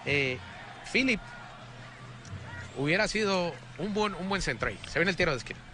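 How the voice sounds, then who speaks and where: A man's voice: high voice, lively intonation, normal speed, pushed and bright, slight background noise. A man from Colombia giving co-commentary on a youth football match, analytical and prone to restarts.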